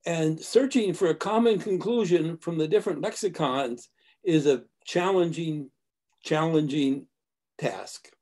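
Older man with a high voice, lecturing. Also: darkish tone. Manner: measured, hesitant, lecturing. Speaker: discussion group member. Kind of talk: casual academic discussion. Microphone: video call.

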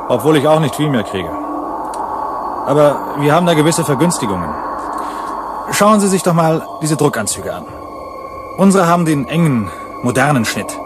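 An actor playing a plain-spoken male security guard in a radio play, colloquial and halting. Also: on the high side, big pitch swings, noisy background. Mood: reflective, unsure. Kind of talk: German radio play acting.

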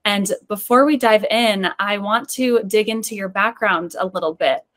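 A woman's voice: mid-range voice, moderate pace, a bit bright. A young woman hosting an interview show over a video call, presenting in a prepared introduction-and-questions style. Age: young adult. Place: indoor room, on a video call. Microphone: video call microphone.

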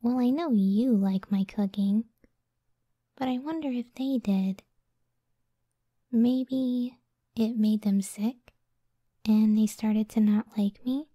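A woman plays a devoted giantess cyclops wife in an intimate ASMR roleplay. Her voice is very soft and mellow, breathy and often close to a whisper. She speaks slowly and tenderly, with a self-doubting gentleness, in a dry, close recording. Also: fairly high pitch, dry recording. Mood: wistful, insecure. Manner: slow, tender, unhurried.

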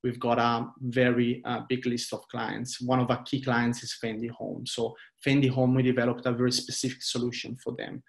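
Italian man pitching on a video call. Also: completely flat delivery, moderate pace, creaky, few pauses. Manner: earnest, fluent with frequent "uh" fillers. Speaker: company director presenting to investors. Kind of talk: investor webinar presentation. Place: small indoor room. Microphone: laptop webcam video call.

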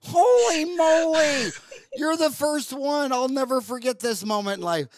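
An older man hosting an interview podcast on a headset mic, talking in an animated, ranting way and repeating himself. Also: very high voice, unhurried, no echo. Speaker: podcast host. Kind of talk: casual interview chat. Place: home studio with wood-panel wall.

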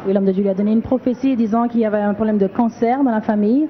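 A woman giving a rapid interpretation of the church testimonies. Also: on the high side, steady tone, slight background noise. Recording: dull muffled audio.

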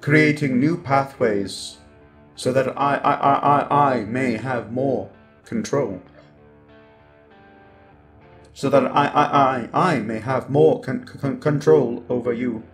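A man's voice: medium pitch, slow pace, soft background music, close to an everyday voice. A man voicing a sinister, cold and grandiose robot overlord with glitchy, stuttering speech in an ASMR roleplay monologue. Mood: menacing.

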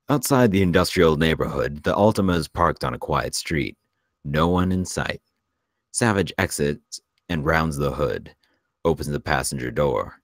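A man reading screenplay stage directions aloud as a narrator, in measured present-tense narration. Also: rumbling low, no room sound, studio quality.

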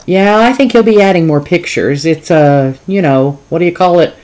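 An audiobook reader voicing a loud, greedy middle-aged mall boss who talks like a pushy salesman. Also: mid-range voice, moderate pace, voice pushed up, lightly acted. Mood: brash, salesy. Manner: brash, wheeling-dealing salesman talk. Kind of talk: audiobook character voice.

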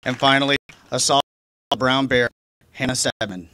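A middle-aged man with a mid-range voice reads out honorees at an outdoor podium microphone. He speaks in a measured, formal way, with clear outdoor noise behind him. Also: mid-range voice, fairly even intonation. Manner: measured, formal name-reading. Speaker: awards presenter. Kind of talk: reading award announcements. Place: outdoor stage by a river. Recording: noisy outdoor recording.

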